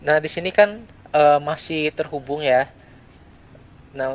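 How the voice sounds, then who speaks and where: A young adult man gives a step-by-step software tutorial, speaking in short, halting phrases with pauses. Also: fairly high pitch, lo-fi sound. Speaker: student presenter giving a software tutorial. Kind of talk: step-by-step screen tutorial, unscripted. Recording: clear background noise.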